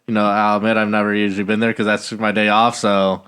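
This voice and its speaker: A man's voice: on the low side, flat delivery, fast talker, a bit bright, smooth. A man chatting casually as a pastor hosting a group conversation. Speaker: pastor, podcast host.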